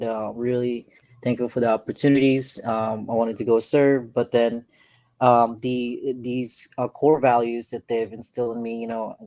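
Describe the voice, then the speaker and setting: A man from the Philippines, a real estate investor, talking as a guest in a casual interview, earnest and soft-spoken with many pauses. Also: medium pitch, no echo.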